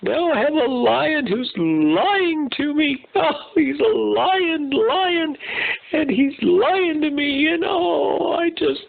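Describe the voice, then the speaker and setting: A man's voice: very high voice, lively intonation, slow pace, creaky, narrow, tinny audio, voice pushed up, exaggerated voice. An older man voicing a melodramatic young male zebra, stuck in the mud, who sobs and complains in an overly dramatic wail. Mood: sobbing.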